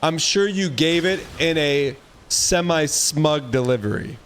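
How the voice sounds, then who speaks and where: A man's voice: a little high, unhurried, fairly bright tone, slight background noise. A man hosting a stream, asking curious questions and teasing his guest in a casual chat. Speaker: stream host.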